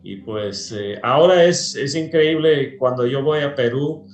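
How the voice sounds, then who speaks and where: Man from Peru, pastor, slow unhurried talk, many fillers, roomy echo, faint music behind. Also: medium pitch. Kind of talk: casual interview chat.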